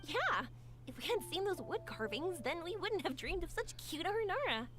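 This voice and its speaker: A woman's voice: very high-pitched, very animated, normal speed, background noise. A woman voicing a cheerful, spirited young fireworks maker in a video game, upbeat and affectionate. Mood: excited. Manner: bright, upbeat, affectionate, giggly. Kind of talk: game voice acting. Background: game music.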